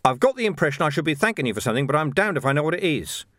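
A man voicing a gruff, impatient city watch commander in an audiobook, blunt and demanding. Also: fairly high pitch, sing-song, rapid-fire, fairly bright tone, no echo, clean studio sound, pitched above the performer's own voice. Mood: angry, insistent. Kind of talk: audiobook character dialogue.

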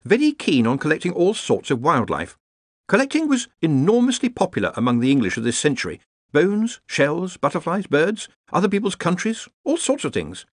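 A harried male academic wizard from a storybook, acted by a male reader. He explains things in an earnest, officious, fussy voice that sits high and moves around a lot. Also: huge pitch swings, normal speed, no echo, studio quality. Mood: brisk, bright.

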